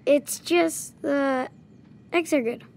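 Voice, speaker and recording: A woman voicing an imaginative ten-year-old boy who talks slowly and sincerely. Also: high-pitched, gravelly, darkish tone, heavily acted. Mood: earnest. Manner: slow, hesitant, sincere.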